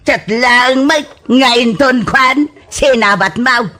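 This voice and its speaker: A man playing a suspicious, scolding older mother in an Ilocano radio comedy drama, with drawn-out exclamations. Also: very high voice, bright, forceful tone, full character voice.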